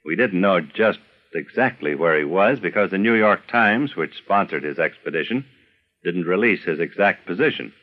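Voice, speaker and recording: A man's voice: deep voice, lively intonation, normal speed, narrow, tinny audio. An older man narrating a scripted documentary with some personal reminiscence, as a storyteller of past times.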